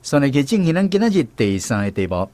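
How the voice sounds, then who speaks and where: A man's voice: mid-range voice, big pitch swings, fast talker, darkish tone, no room sound. A man with a Taiwanese accent hosting a radio language segment with short linking announcements.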